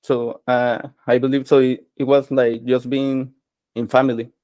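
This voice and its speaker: A man's voice: mid-range voice, level tone, unhurried, warm tone, no room sound. A man whose first language is Spanish, hosting an interview and asking questions hesitantly, searching for words. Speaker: interviewer, host.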